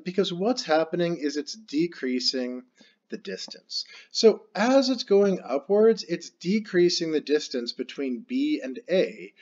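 A man's voice: on the high side, darkish tone, dull audio. A man teaching a calculus problem step by step while writing it out on screen. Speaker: math teacher. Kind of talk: screen-recorded math lesson.

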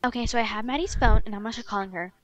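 A woman's voice: a little high, very fast, close to an everyday voice. A woman playing a spiteful, fake-sweet young stepmother in an improvised video game roleplay, with a gushing, girlish way of talking. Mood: gloating. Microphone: headset.

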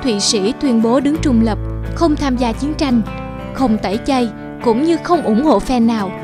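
A woman narrator tells a scripted story in a high voice. Music plays clearly behind the reading. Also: normal speed.

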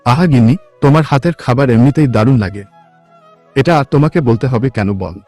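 A man playing a simple, devoted young village farmer in a cartoon, earnest and gentle. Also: medium pitch, animated, fairly quick, lightly acted. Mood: affectionate. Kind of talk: cartoon voice acting. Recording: music behind the voice.

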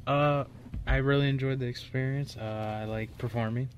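A man's voice: mid-range voice, fairly even intonation, normal speed, lo-fi sound, a little hiss or hum. A young man giving casual, hesitant remarks as a guest on a radio show.

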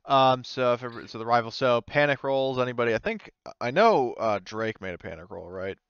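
A man's voice: mid-range voice, expressive, fairly quick, fairly bright tone, clear, resonant tone, dry recording, dull audio. Male game master, clean resonant voice, casual delivery at the table, close and dry.

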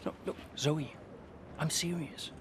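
A hesitant veteran racing driver, noisy background. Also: male voice, fairly high pitch, fairly even intonation. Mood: hesitant, earnest. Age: middle-aged. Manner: hesitant, halting. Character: weary, earnest veteran racing driver near retirement. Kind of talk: dramatic dialogue in a game cutscene. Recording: clear background ambience noise.

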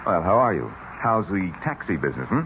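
A man's voice: low-pitched, very animated, fairly quick. An actor playing a guarded, irritable man with a secret in a 1970s radio drama. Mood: polite. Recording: muffled, noisy vintage radio recording.

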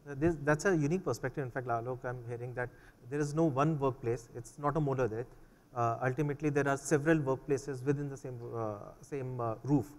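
A man with an Indian English accent moderating a panel, hesitant with many fillers, on a large conference stage. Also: mid-range voice. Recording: long hall reverb.

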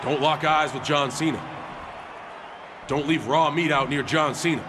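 An actor playing an earnest, defiant wrestling hero, giving an emphatic promo to an arena. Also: male voice, fairly high pitch, measured pace, natural voice. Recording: loud arena crowd noise.

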